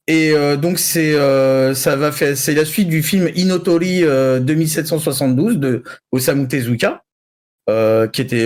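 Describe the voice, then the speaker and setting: A man chatting casually about anime news as a panel commentator, often restarting his phrases in a hesitant way. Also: on the high side. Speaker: panel commentator on a geek culture show.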